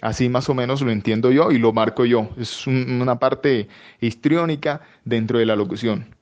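A man with a Colombian accent explaining things as an interview guest, halting and repeating for emphasis, with frequent tag questions. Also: mid-range voice, darkish tone. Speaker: interview guest, professional voice-over announcer. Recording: dull, lo-fi audio.

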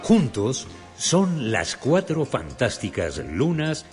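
A man's voice: medium pitch, wildly swooping pitch, slow. A man reading station promos as a radio announcer. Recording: loud music over the voice. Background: loud music.

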